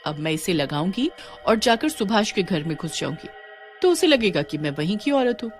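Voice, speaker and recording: A woman's voice: mid-range voice, big pitch swings, normal speed, soft background music, voice pushed down, close to an everyday voice. A woman voicing an indignant, distressed young village wife in a cartoon horror story, with dramatic, complaining delivery. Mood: scheming. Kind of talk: acted cartoon dialogue.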